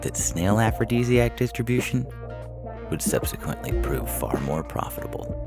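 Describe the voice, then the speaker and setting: A man's voice: lowish voice, sing-song, normal speed. A man reading a short science fiction story as scripted narration. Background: music under the reading.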